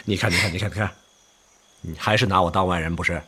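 An actor playing a brotherly but stern army officer in a Chinese radio drama, with emphatic, expressive delivery. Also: male voice, sits low, fast, slightly rough, heavily acted. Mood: teasing, hearty. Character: hearty older army officer, brotherly yet stern male friend.

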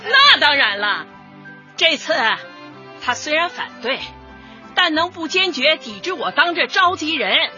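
An actress playing a cheerful, devoted older community dance organizer in a radio comedy, exclamatory and folksy. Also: female voice, high voice, very animated, measured pace, bright, forceful tone, a faint crowd, exaggerated voice. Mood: cheerful, bustling. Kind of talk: light comedy radio drama acting. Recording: dull lo-fi audio, faint music.

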